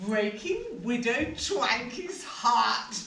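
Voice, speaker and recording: An actor playing a gloating evil wizard in an amateur stage pantomime, with a theatrical, drawn-out delivery. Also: mid-range voice, sing-song, vocal fry, crowd noise, full character voice. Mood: gloating, scheming. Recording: echoey hall, distant mic, loud audience noise.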